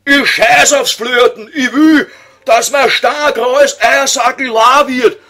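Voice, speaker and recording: A man's voice: extremely high pitch, slow, sharp tone, heavily acted. A man voicing a grumpy, tormented old scholar, whining and exasperated, with a Viennese accent in a comic radio sketch. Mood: impatient, angry.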